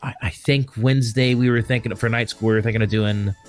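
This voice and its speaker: A man's voice: medium pitch, fairly even intonation, quick pace, faint music behind. A man hosting a casual stream as a game master, making announcements in a rambling, joking way that often interrupts itself. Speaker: host and tabletop game master.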